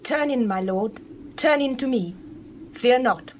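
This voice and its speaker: A woman's voice: fairly high pitch, measured pace, slight background noise, natural voice. A woman playing a welcoming, reassuring ancient tent-dwelling wife in a dramatized scripture reading. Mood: inviting, reassuring.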